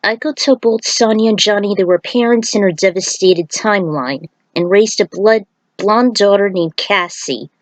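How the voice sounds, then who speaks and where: A woman's voice: mid-range voice, normal speed, no room sound, low-quality recording. A woman reading a fan-fiction story aloud as an amateur narrator, occasionally stumbling and repeating words.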